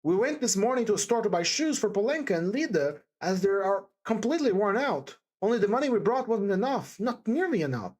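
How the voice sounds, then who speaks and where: A woman narrator performs a timid young woman in deep distress from a classic storybook. Her voice rises high and swings widely as she pleads with fervent compassion. She stammers and repeats herself emphatically. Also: very animated, darkish tone. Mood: desperate, pleading. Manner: halting, stammering, breathless repetitions and emphatic exclamations. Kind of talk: dramatised audiobook character dialogue.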